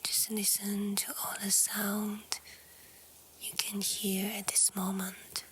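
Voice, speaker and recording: A woman with a soft, breathy, whispery voice calmly guiding a relaxation meditation in short, gentle phrases. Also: mid-range voice, low-quality recording. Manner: calm, hushed, gentle, with short pausing phrases. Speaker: yoga nidra meditation guide. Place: outdoors in nature. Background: faint natural ambience.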